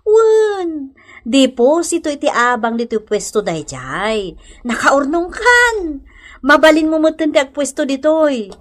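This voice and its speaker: A woman's voice: sits high, big pitch swings, unhurried. A woman playing a kindly, chatty market stallholder in a radio comedy drama, with an Ilocano accent. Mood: encouraging.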